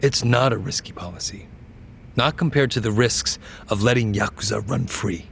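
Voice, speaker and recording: An actor playing a polished, calculating young governor, speaking in a composed and articulate way in a game's interview scene. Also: male voice, lowish voice, normal speed, vocal fry, a bit bright, a little hiss or hum. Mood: assured, persuasive. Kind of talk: televised interview in a game cutscene.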